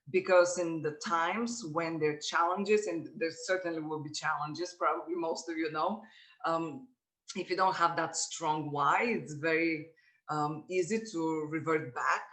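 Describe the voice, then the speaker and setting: A woman in her forties with a Bulgarian accent speaks to a workshop audience in a bright, clear-edged voice. She sounds earnest and measured, stopping now and then to search for the right word. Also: low-pitched, poor audio. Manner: earnest, measured, pauses often to find words. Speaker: guest speaker. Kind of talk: personal talk to a workshop audience.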